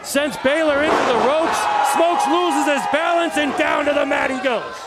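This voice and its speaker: A man's voice: extremely high pitch, normal speed. A man calling a live wrestling match play-by-play with an emphatic announcer delivery. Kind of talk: live sports commentary. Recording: loud crowd and venue noise behind. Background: wrestling crowd, ring slams.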